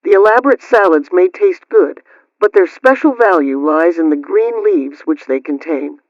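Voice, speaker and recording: A man's voice: sits high, moderate pace, no echo. A man reading scripted household advice as a radio host, in a formal, instructional, carefully enunciated manner, question then answer. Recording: dull lo-fi radio-style sound.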